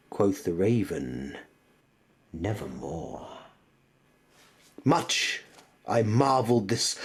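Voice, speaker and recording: A man giving a dramatic recitation of a gothic poem, theatrical and often dropping to a hushed near-whisper. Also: medium pitch, breathy edge. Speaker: poetry reader. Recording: noticeable background noise.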